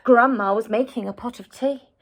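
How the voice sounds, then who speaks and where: A woman's voice: a little high, moderate pace. A woman teacher narrating a children's story expressively, as if to young listeners.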